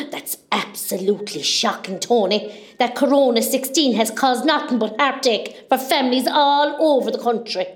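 A woman's voice: fairly high pitch, normal speed, hardly any pauses, lightly acted. A woman playing a nervy, cheeky, gossipy hospital patient with a West Cork Irish accent in an amateur radio comedy. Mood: gossipy, exclaiming. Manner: chatty, exclaiming, dramatic, muddles her words.

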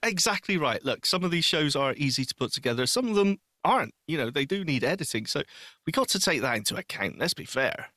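Bright-voiced man, animated casual chat. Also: a little high, quick pace, no room sound, studio quality. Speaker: podcast co-host.

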